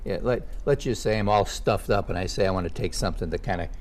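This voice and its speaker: A man's voice: low-pitched, fast talker. An older man hosting a casual health interview, asking leading questions and adding personal asides. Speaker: show host.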